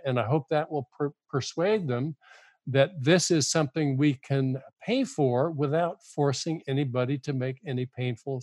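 Older man, halting and earnest. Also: medium pitch, darkish tone, no echo. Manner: halting, repeats words, earnest and argumentative. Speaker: guest author. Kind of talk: podcast interview.

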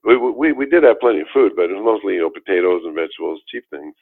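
Brief: older man, very low voice, rambling storyteller, heard over a tinny phone-like line. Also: expressive, fast, husky. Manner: rambling, with many restarts and fillers. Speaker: guest telling his life story. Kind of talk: casual storytelling interview.

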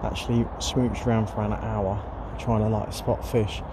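A man chatting casually by a lake about carp fishing, rambling with plenty of fillers, his voice going hoarse. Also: fairly low pitch, level tone, normal speed. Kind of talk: casual vlog chat to camera. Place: outdoors by a lake. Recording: noisy outdoor recording.

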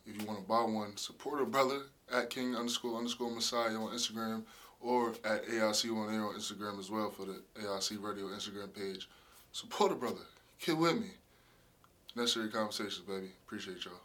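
A young man from the USA hosting a casual podcast chat, talking with easy slang and animated energy. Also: on the low side, quick pace. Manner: lively, animated, slangy, does voices when retelling. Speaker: podcast host.

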